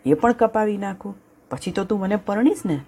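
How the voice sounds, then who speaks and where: A woman voicing a hungry, bossy male lion who barks gruff, impatient orders in a storybook tale. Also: mid-range voice, moderate pace, mellow, pitched above the performer's own voice, natural voice.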